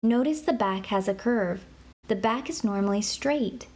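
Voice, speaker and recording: Woman narrating clearly over steady noise. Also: medium pitch, a little slow. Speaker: narrator of an interactive medical app for kids. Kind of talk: scripted instructional narration.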